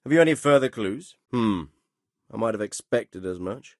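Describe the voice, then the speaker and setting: A man playing a measured, fatherly but stern middle-aged medieval lord in a video game, speaking formally and with authority. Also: fairly low pitch, lively intonation, darkish tone, dry recording, clean studio sound. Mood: stern, urging. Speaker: lord giving orders and counsel. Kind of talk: dramatic video game dialogue.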